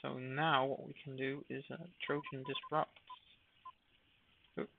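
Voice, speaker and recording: A man's voice: mid-range voice, little pitch movement, measured pace, gravelly, noisy background. A man giving casual live commentary while streaming a game, thinking aloud with hesitant fillers. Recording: dry, phone-like narrow sound.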